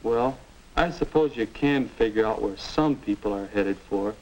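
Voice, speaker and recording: A young man with an American accent playing a sullen, defensive high school boy with no aim, grumbling in a 1950 instructional film. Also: moderate pace, darkish tone, dull audio, close to an everyday voice. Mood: grumbling, pondering. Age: young adult. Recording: noisy, clicking 1950 film soundtrack.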